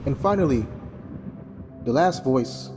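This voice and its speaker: A man delivering a scripted spiritual sermon in a solemn, deliberate way with frequent pauses. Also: medium pitch, expressive, over music. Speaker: spiritual teacher, self-styled messenger of God.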